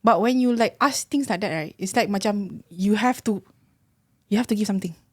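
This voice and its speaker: A woman's voice: medium pitch, a little fast, slightly rough, dry recording, clean studio sound. A woman in her thirties with a Malaysian accent, hosting a casual chat and emphatically switching between English and Malay. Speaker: podcast host.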